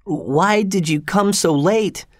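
Audiobook role: sickly, fearful youth, pitched high and quavering, pleading and faltering, played by a male narrator. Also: slow pace, no room sound, clean studio sound. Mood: querulous. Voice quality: shaky. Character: sickly, terrified, pleading young man. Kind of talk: audiobook character voice.

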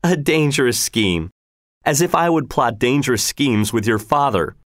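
A man's voice: mid-range voice, expressive, normal speed, no echo, studio quality, lightly acted. A man reading a story voices a bold, easygoing hunter and father who speaks casually and self-assuredly.

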